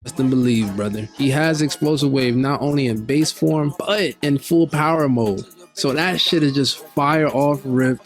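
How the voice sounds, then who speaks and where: A man's voice: mid-range voice, moderate pace, few pauses, faint music behind. A man giving casual, slangy, hyped gaming commentary, ranking fighting game characters. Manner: slangy, hyped, laid-back street talk. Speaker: gaming video creator.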